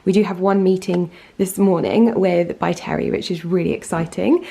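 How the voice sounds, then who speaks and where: A woman vlogging in casual, rambling chat, her voice croaky this morning. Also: lowish voice, fairly even intonation, moderate pace, warm tone. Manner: chatty, rambling, lots of fillers.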